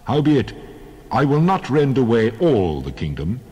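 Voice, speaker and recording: An actor playing the God of Israel in a dramatized Bible reading, solemn, deliberate and commanding. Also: male voice, sing-song, mellow, husky. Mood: stern judgment. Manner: solemn, deliberate, authoritative pronouncement. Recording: booming long hall reverb.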